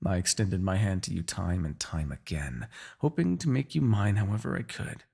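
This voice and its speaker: A man's voice: low voice, lively intonation, moderate pace, vocal fry, a little mellow, husky, heavily acted. A man playing a proud, bitter, centuries-old demon king in a fantasy audio roleplay, menacing, theatrical and sardonic. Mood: cold, threatening.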